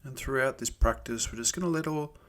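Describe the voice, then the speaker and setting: A man leading a guided meditation in a gentle, unhurried, soothing manner with pauses. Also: medium pitch. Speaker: meditation teacher.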